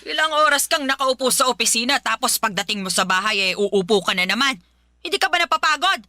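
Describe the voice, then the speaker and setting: A woman playing a harsh, belittling, nagging Filipino mother in a radio drama, with a sharp-tongued, scolding manner. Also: on the high side, expressive, fast, dry recording, studio quality. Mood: irritated, demanding.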